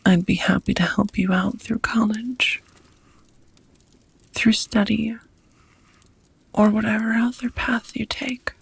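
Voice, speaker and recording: A woman playing a seductive, doting vampire roommate in an intimate ASMR romance roleplay, whispering softly with teasing, formal endearments. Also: fairly high pitch, flat delivery, no room sound, full character voice. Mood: tender, encouraging. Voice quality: soft, breathy whispering. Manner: slow, sultry, formal wording with pet names.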